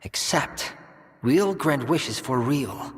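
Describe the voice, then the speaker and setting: An actor playing an evasive, idealistic scientist in a video game, speaking in a measured, persuasive, clinical way. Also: male voice, medium pitch, animated. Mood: visionary. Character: evasive, idealistic male scientist doctor reassuring a soldier. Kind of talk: dramatic video game dialogue. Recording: long reverberant hall echo.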